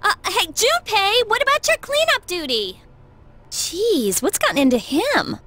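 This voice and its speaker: High, animated teenage girl. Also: a little slow, full character voice. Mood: exasperated. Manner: casual, expressive. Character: perky, teasing, nosy high school girl. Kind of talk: scripted game voice acting.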